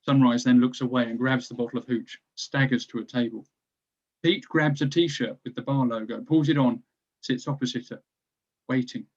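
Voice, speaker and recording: A man's voice: mid-range voice, fairly even intonation, moderate pace, lo-fi sound. A man reading stage directions as scripted narration for a screenplay table read.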